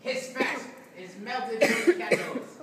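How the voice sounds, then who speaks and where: A puppeteer voicing a gloating, chatty fiend from hell in a live puppet show, with hesitant, drawn-out delivery. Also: medium pitch, a crowd in the background, close to an everyday voice. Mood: sly. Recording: echoey room, noisy, distant mic.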